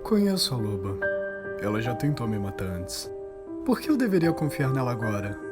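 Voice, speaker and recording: Man acting a stern, guarded young guardian, animated delivery. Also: medium pitch, normal speed, natural voice. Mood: guarded, challenging. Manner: serious, distrustful, confrontational. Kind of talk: dramatized audiobook acting. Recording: loud music bed under voice. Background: music.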